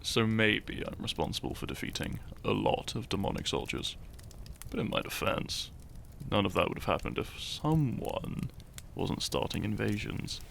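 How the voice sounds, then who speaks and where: A man playing a shy young farmer turned hero in an intimate romantic audio roleplay, tender and teasing, speaking softly and haltingly. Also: lowish voice, very animated, gravelly, a little hiss or hum, exaggerated voice. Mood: playful, defensive. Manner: soft, hesitant, halting, sighing and chuckling.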